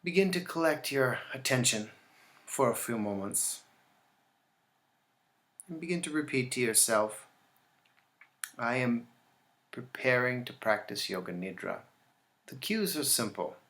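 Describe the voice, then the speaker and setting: Man calmly guiding a relaxation meditation. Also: mid-range voice. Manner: calm, instructional, with deliberate pauses. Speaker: yoga nidra teacher guiding a session.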